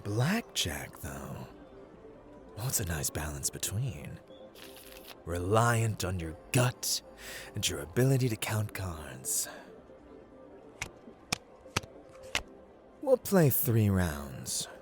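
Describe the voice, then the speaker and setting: Man voicing a low, teasing god of luck. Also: sing-song, takes it slowly, over music, full character voice. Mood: amused, measured. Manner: theatrical, teasing, drawling, swinging between hushed and booming. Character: playful, haughty male god of luck in a gambling den. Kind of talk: ASMR roleplay, theatrical character acting addressed to the listener.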